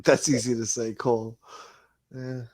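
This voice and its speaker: A man's voice: mid-range voice, little pitch movement, measured pace, a little mellow. A man in casual crosstalk chat who chimes in with short, jokey asides.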